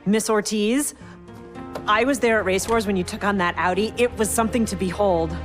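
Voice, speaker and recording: A woman's voice: medium pitch, big-room sound, soft background music, close to an everyday voice. Woman as a cocky young heist planner. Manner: cocky, persuasive, quick-witted. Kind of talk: video game cutscene acting.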